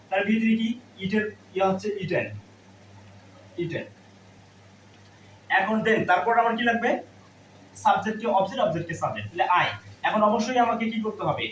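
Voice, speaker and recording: A man's voice: high voice, fairly bright tone. A man teaching a grammar lesson step by step, asking questions and answering them himself. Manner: instructive, asks and answers own questions. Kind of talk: classroom lesson, explaining step by step. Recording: roomy, distant mic, faint background noise.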